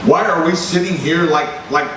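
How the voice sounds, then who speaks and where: An actor playing a grouchy, hot-tempered newspaper chief in old-time radio drama style, blustery and indignant. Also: male voice, fairly high pitch, normal speed, pushed and bright. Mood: irritated. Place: live stage recreation at a festival. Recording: very echoey hall, distant mic, noisy.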